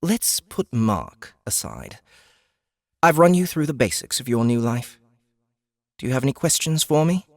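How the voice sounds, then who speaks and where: A man's voice: medium pitch, big pitch swings, dry recording, clean studio sound, voice pushed up. A man voicing a composed, formal female guide, lilting. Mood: patient, formal. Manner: measured, formal, explanatory. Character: composed female otherworldly guide, patient, formal, faintly judgmental.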